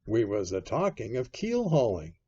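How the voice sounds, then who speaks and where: A man voicing a dim, sheepish old sailor in an audiobook, halting and deferential, with rustic grammar. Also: lowish voice, huge pitch swings, warm tone, husky, dull audio, full character voice. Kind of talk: audiobook character acting.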